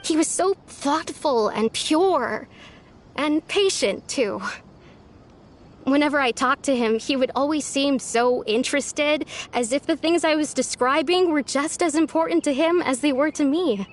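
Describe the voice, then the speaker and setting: A woman voicing a gentle, lonely young village woman in a video game, speaking softly and earnestly. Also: sits high, a little slow, a little hiss or hum. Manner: soft, wistful and earnest. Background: faint game music.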